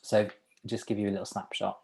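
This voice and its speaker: Deep-voiced man interpreting a presentation. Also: little pitch movement, gravelly, a little mellow. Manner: halting, with restarts and small laughs. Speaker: sign language interpreter. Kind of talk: voicing a signer's presentation in first person.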